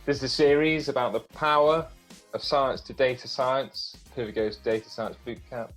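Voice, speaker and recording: A man hosts an interview in an everyday, middle-pitched voice. He puts his questions in a conversational way, as the host of a profile series would.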